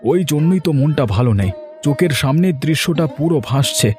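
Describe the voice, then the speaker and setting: A man's voice: a little high, fairly quick, darkish tone, faint music behind, close to an everyday voice. A man voicing a worried village husband in a cartoon, gloomy and brooding. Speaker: cartoon character.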